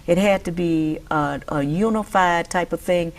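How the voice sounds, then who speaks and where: A woman organizer recalling her campaign work in an interview, unhurried and restarting phrases with fillers. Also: lowish voice. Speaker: welfare rights organizer. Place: dark studio, indoors.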